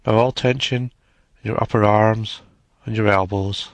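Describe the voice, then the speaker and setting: Low-voiced man guiding a slow meditation. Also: steady tone, no echo. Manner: slow, soothing, measured with long pauses. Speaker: meditation guide. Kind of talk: guided meditation narration.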